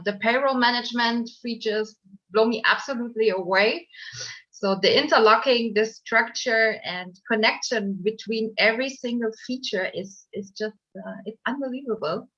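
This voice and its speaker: A woman's voice: a little high, fairly bright tone, highs cut off. A woman from Germany giving enthusiastic remarks at a live online event, with some hesitant fillers. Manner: enthusiastic, slightly halting. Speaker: event participant giving feedback.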